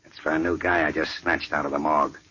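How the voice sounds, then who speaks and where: An actor playing a ruthless gangster boss with a gravelly voice and tough street talk, in 1940s radio acting. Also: male voice, very deep voice, little pitch movement, fast talker, pushed and bright, highs cut off. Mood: menacing. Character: menacing gangster boss, ruthless adult man. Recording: old radio recording with faint hiss.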